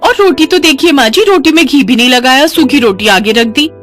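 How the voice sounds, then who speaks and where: Spiteful rich daughter-in-law acted by a woman storyteller: raised pitch, whiny, scheming, family story drama. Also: lively intonation, brisk, a bit bright, soft background music. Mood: whiny, accusing. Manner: whiny complaining, pointed.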